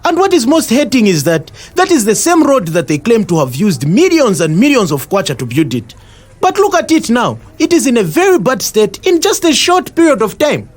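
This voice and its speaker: Animated, high-voiced Zambian man, earnest. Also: normal speed, exaggerated voice. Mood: aggrieved. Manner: emphatic, explanatory. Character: earnest, indignant Zambian man explaining civic matters to friends. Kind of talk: scripted educational audio drama.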